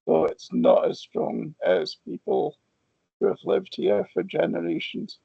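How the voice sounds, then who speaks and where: A man with a Scottish Borders accent, a linguist answering interview questions in a measured, explanatory way. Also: sits high, steady tone, gravelly, warm tone, husky, no room sound, lo-fi sound.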